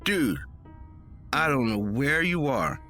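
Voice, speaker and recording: A man's voice: medium pitch, very animated, slow pace, sharp tone. A man reading a first-person story in a dramatic, conversational, emphatic storytelling style. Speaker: story reader. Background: music.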